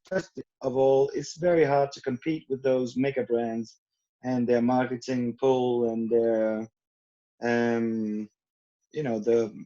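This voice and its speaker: Mellow-voiced Danish-born man on a video call. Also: mid-range voice. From Denmark. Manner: chatty, rambling, frequent fillers and restarts. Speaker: guest, rum brand owner. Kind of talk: casual video call conversation. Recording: dull lo-fi video call audio.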